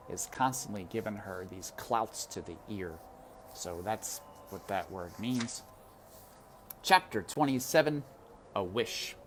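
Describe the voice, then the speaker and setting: Storyteller casting: woman, low voice, highly expressive and unhurried, reading for children outdoors in light wind. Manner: animated, addresses listeners as friends. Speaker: read-aloud storyteller. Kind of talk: expressive children's book narration with casual asides. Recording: windy outdoor ambience noise.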